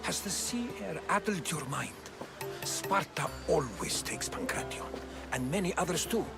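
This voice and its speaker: A man's voice: fairly high pitch, very animated, unhurried, gravelly, loud music behind the voice, exaggerated voice. A man playing an earnest Spartan companion with a bardic streak in a video game, given to declaiming. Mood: assertive. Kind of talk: video game character acting.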